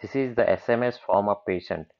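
A man with a very low voice explains software screens in a plain, matter-of-fact way. The sound is dull and lo-fi, like a basic computer mic. He moves step by step through each screen. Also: fairly quick, creaky. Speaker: software demonstrator. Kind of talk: screen-recorded software walkthrough.

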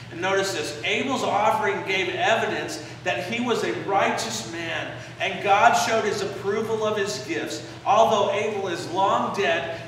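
A man in his forties preaching a sermon in a church sanctuary, explaining a passage with pauses on key phrases, recorded by a camera out in the pews. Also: high-pitched, fairly bright tone, slight background noise. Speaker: pastor. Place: church sanctuary, indoors, medium-sized. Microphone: far camera in the pews. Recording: echoey church from far off.

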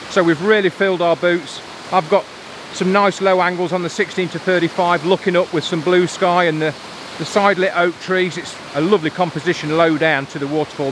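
A man, chatting casually to camera as a photography vlogger outdoors. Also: a little high. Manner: chatty, upbeat, colloquial. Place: outdoors by a waterfall in a valley. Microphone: on-camera mic, handheld selfie framing. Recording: rushing water noise behind. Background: waterfall and stream.

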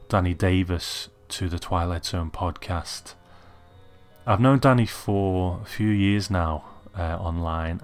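A man giving a conversational introduction as a podcast host. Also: deep voice. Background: faint music.

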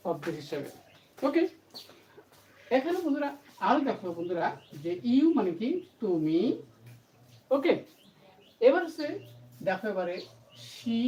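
A woman's voice: medium pitch, a little mellow. A woman teaching grammar to camera, explaining emphatically and repetitively, asking questions and answering them herself. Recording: distant mic, faint background noise.

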